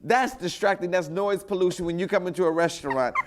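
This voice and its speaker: This man has a high, light voice for a man. He chats casually and playfully, jumping in to argue his point. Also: fairly quick, very noisy. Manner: playful, interrupting, imitates other people. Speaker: podcast co-host. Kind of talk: casual chat.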